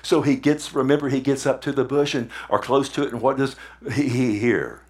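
A man preaching a sermon, animated, often asking the congregation "Amen?" and retelling scripture in his own words. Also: mid-range voice, fairly quick. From Texas.

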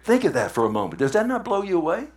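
A man preaching a sermon, animated, often asking the congregation "Amen?" and retelling scripture in his own words. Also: sits high, fast. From Texas.

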